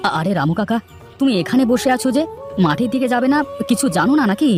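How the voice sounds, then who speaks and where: A man voicing an excitable, nosy village neighbor in a children's cartoon, urging and questioning with lots of exclamations. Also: extremely high pitch, fast talker, over music. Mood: excited, teasing. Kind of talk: children's moral-story cartoon dubbing.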